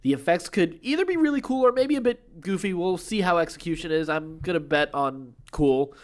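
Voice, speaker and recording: A man's voice: a little high, expressive, brisk. A man hosting a podcast, reading entertainment news with informal, enthusiastic, chatty asides. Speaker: podcast host.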